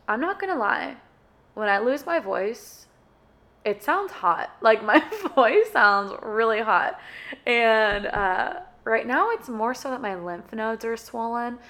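A young woman with a sore throat and a slightly hoarse voice, hosting her podcast in a casual, friendly solo chat full of "uh" and "like". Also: a little high, a bit bright. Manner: chatty and friendly, with many "uh" and "like" fillers. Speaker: podcast host.

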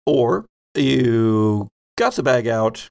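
A man with an average-pitched voice that often drops into vocal fry. He works as game master, making up the narration as he goes. His phrasing is deliberate and halting. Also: animated, a little mellow. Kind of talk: improvised narration of a tabletop roleplaying game.

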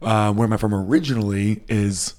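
An American man co-hosting a podcast, chatting casually and quickly, often repeating his words. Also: on the low side, steady tone, a little mellow. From United States. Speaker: podcast co-host. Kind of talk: casual chat.

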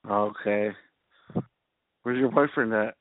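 A man's voice: fairly low pitch, slow, dry recording. A man in his thirties from Hawaii on a phone line, bantering flirtatiously and insistently, repeating himself. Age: 30s. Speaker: phone-in chat caller. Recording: tinny phone line, faint noise.

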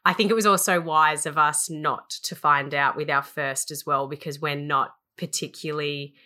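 A woman's voice: low voice, steady tone, normal speed, bright, forceful tone. An Australian woman in her twenties, co-hosting a podcast in a chatty, rambling way and laughing easily. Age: 20s. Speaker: podcast co-host. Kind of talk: casual chat.

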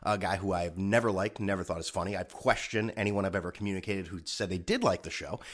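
A man's voice: low voice, fairly even intonation, quick pace, a bit bright. A man hosting a solo podcast, talking in a sarcastic, rambling way with a slightly stuffed-up nose. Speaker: podcast host.

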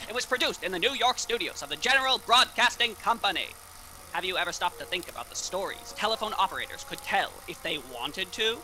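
Man acting as old-time radio announcer, declamatory, theatrical, bright forceful voice, pitched high. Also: animated, a little fast, a little hiss or hum, exaggerated voice, low-quality recording. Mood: showy, declamatory. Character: showy 1930s radio announcer. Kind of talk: 1930s radio announcing, scripted.

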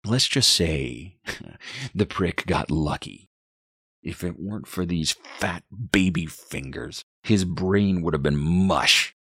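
A man's voice: low-pitched, animated, slow, a bit bright, husky, no echo, studio quality, exaggerated voice. A man voicing a malevolent baby with a mean grown man's voice in an audiobook, sinister and mocking. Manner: sinister, mocking, foul-mouthed. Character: demonic baby boy with a mean, adult man's voice.

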